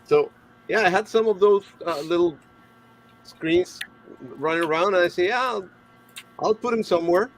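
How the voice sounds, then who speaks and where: Higher-pitched man chatting haltingly. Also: poor audio. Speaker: hobbyist guest on the stream. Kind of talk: casual show-and-tell chat. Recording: faint noise, room ambience.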